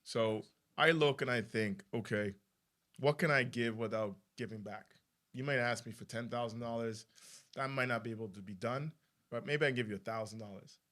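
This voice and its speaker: A man speaking thoughtfully and in measured terms as a panelist in a live discussion. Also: medium pitch, a bit bright.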